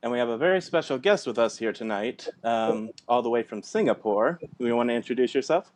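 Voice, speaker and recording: A man's voice: mid-range voice, lively intonation, fairly quick. A man hosting an online interview show, upbeat and welcoming. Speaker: interview host.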